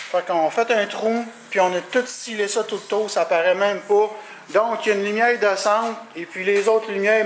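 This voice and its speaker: A man with a rather high voice and a Quebec French accent talks through an installation informally. He explains things in a colloquial, hands-on way, over faint background noise. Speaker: CB radio installer presenting his work. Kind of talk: casual product walkthrough.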